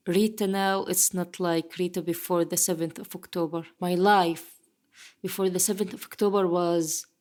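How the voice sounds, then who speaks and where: A woman in her twenties from Gaza, a journalist speaking English as a second language in a halting, reflective interview. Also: lowish voice. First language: Arabic. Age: 20s. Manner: halting, reflective, with soft laughs. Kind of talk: conversational interview.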